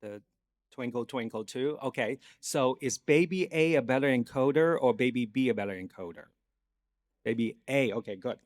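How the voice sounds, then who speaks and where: A man's voice: medium pitch, moderate pace. Cantonese-accented man giving a lecture. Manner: explanatory, checks in with audience. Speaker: guest lecturer, neuroscience professor. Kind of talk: academic conference lecture. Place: conference lecture hall.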